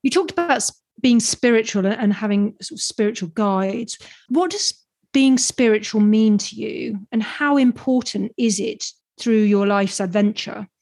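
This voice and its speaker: A woman interviewer asking calm, measured questions. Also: a little high, gravelly, no echo.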